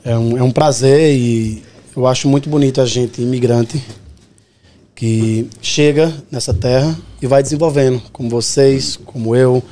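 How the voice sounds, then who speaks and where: A man's voice: medium pitch, steady tone, a little slow, mellow, slight background noise. A man in his thirties with a Pernambuco accent, a podcast guest earnestly telling his story step by step. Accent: Pernambuco Brazilian Portuguese. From Pernambuco, Brazil. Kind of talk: casual podcast interview.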